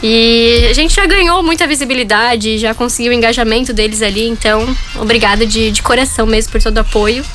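A woman's voice: a little high, fairly even intonation, a little fast, hardly any pauses, a bit bright, soft background music. A young woman being interviewed on the radio, chatty and grateful as she talks. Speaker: guest.

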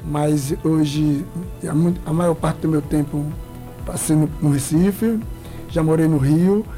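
A man with a blended northeastern Brazilian accent chats as a guest, relaxed and enthused. His voice is quite high yet mellow. Faint music plays underneath. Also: takes it slowly. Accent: mixed northeastern Brazilian. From Bahia, Brazil. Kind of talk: interview chat.

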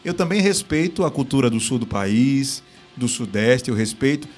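A man with a Northeastern Brazilian accent hosting a live talk stream, chatting in a lively way and tossing in playful regional exclamations. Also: mid-range voice, normal speed, soft background music. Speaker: host of a live business talk show. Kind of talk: casual live-stream chat.

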